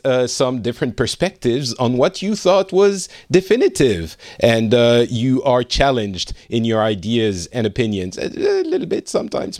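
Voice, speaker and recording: A man's voice: medium pitch, a little mellow, no echo, studio quality. A man from France hosting a casual podcast panel, teasing his guests and laughing often. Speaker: podcast host.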